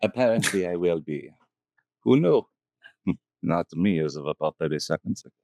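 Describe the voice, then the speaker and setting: A man's voice: deep voice, animated, normal speed, a little mellow, no echo, pitched below the performer's own voice. A man playing a coy old grandmother broker. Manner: coy, dry and teasing.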